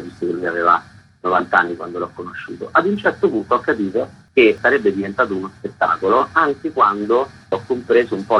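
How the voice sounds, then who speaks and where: Low-pitched man with a bright, forceful voice. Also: highs cut off, slight background noise. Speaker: interviewed theatre author and actor. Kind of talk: radio interview, explaining own show.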